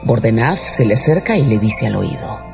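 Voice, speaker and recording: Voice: medium pitch, big pitch swings, normal speed, vocal fry. A narrator reading a radio novel in a dramatic, scripted storytelling style. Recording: dull radio sound with music behind.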